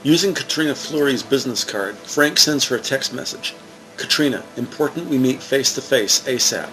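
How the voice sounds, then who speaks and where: A man reading scripted narration for a police drama in a measured, factual manner. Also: mid-range voice, background noise, lightly acted.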